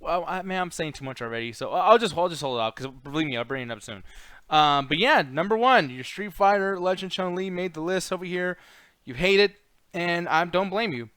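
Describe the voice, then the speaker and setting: Clear higher-voiced man, casual show host. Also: lively intonation, a little fast, sharp tone, no room sound. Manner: rambling, slangy, swears freely. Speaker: host of a movie countdown show. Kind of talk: casual chat, profane opinionated commentary.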